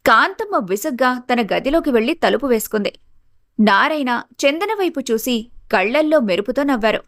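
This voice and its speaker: A woman's voice: a little high, lively intonation, normal speed, fairly bright tone, no echo, studio quality. A woman narrating a scripted family story in the third person.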